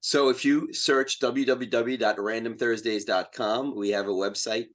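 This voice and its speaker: A man's voice: mid-range voice, fairly even intonation, unhurried. A man who is a playwright and podcast guest, chatting casually in an enthusiastic, plain-spoken way. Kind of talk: casual interview chat.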